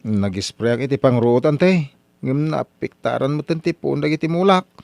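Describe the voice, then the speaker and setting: A man's voice: mid-range voice, expressive, moderate pace, gravelly, a little mellow, no echo, clean studio sound. A man voicing Pidong, a stubborn young farmer who pleads and apologizes in a radio drama. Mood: protesting.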